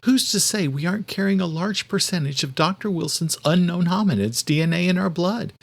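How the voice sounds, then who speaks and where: A man voicing an earnest, quick-thinking adult woman in an audiobook, explaining things insistently. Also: sits high, creaky, pitched above the performer's own voice. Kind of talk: audiobook character dialogue.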